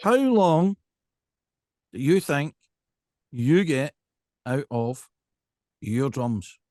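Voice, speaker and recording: A man's voice: on the high side, very animated, very slow, darkish tone, no room sound, clean studio sound. A man with a Scottish accent hosting a casual interview, talking in an emphatic, rambling way and often checking in with the listener. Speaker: podcast host.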